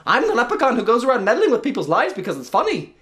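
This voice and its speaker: A man's voice: very high voice, wildly swooping pitch, quick pace, a bit bright, voice pushed up, heavily acted. A man voicing a mischievous, meddling leprechaun in a folktale, gleeful and teasing as he makes things up. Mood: gleeful, bossy. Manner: gleeful, teasing, improvising as he goes. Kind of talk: live folktale storytelling.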